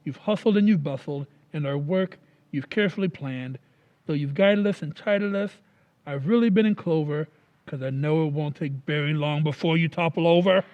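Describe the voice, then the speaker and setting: A man playing a pompous, self-important school principal in old-time radio comedy style, with formal, deliberate diction. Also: a little high, narrow, tinny audio, close to an everyday voice. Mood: declaiming.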